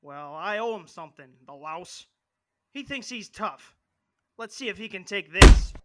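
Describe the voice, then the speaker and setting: A man's voice: sits high, expressive, measured pace. An actor playing a spiteful young gunman henchman in a 1940s style radio play, talking in a slangy tough-guy sneer. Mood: sneering, vengeful.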